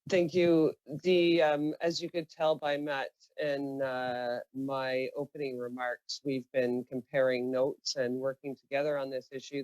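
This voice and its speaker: A man giving earnest, measured testimony as a union representative. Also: medium pitch, a little mellow, no echo, poor audio. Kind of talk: formal spoken testimony.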